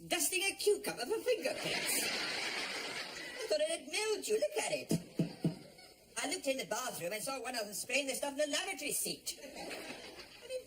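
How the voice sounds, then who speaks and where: Brief: indignant ranting burglary victim, high and swooping, noisy track. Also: female voice, sing-song, fast. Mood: outraged. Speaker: burgled neighbour. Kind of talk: sitcom acting. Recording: noisy broadcast sound.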